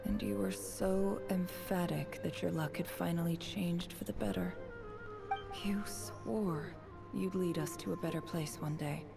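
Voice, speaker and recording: Over clear background music, a cool, bitter daughter of a desert chief. She speaks slowly in a slightly low voice, controlled and cutting, as a dramatic game monologue. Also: animated. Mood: bitter, accusing. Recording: music behind.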